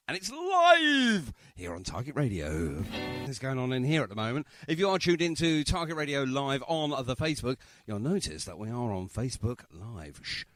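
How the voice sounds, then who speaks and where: A man presenting a radio show in a chatty, jokey style with cheeky banter. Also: medium pitch.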